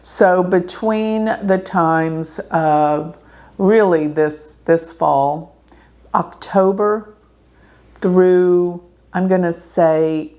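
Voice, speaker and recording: A woman astrologer giving an earnest, slow warning talk to her viewers, clearing her throat now and then. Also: on the low side, darkish tone. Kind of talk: casual talk to camera.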